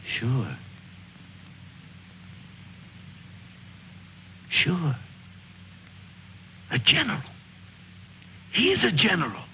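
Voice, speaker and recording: A man's voice: fairly high pitch, wildly swooping pitch, slow pace, bright, forceful tone, heavily acted. An actor playing a frightened, jittery young male soldier in the style of a 1950s war film. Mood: nervous. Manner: anxious, panicky. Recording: muffled old film soundtrack, faint noise.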